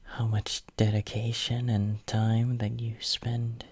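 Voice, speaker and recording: A man playing a devoted, possessive young hero in a romantic ASMR roleplay, murmuring tenderly and intimately in a breathy whisper close to the microphone. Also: mid-range voice, monotone, takes it slowly, darkish tone. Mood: adoring. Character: devoted, possessive young male hero soothing his lover.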